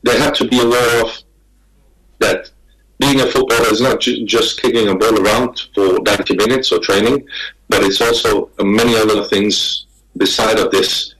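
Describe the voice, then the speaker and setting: A man from Denmark, speaking as a guest on a panel over a video call, halting and repeating words as he talks. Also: on the low side, a bit bright, rough recording. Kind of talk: remote panel talk.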